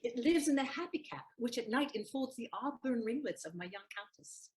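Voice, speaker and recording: A sharp-tongued young maid played by a woman in a stage period comedy. Her voice is high and swings widely in pitch as she speaks archly, teasing and wary. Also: lively intonation, fairly quick, close to an everyday voice. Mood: teasing, scolding.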